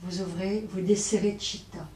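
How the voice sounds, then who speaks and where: Woman, relaxation instructor, mellow timbre, pausing and repeating, roomy distant recording. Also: medium pitch, fairly even intonation. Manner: hesitant, pausing, repeating phrases gently. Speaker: yoga nidra teacher. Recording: roomy, distant mic, faint noise.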